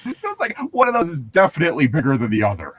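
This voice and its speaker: A man's voice: on the high side, wildly swooping pitch, racing, fairly bright tone, husky, no room sound, studio quality. A man co-hosting a casual book-reading podcast, chatting in a rambling way and reading prose aloud, with casual swearing. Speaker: podcast co-host reading a novel aloud with commentary.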